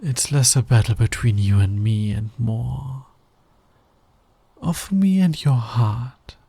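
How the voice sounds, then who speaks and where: A man playing a smug, flirty fantasy villain in an intimate ASMR roleplay, breathy and teasing, often sinking toward a whisper. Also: mid-range voice, mellow, no echo, exaggerated voice. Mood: confident, challenging. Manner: teasing, slow, deliberate, dominant. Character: flirty, smug male fantasy villain, patient, smitten with hero. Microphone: close microphone, dry sound.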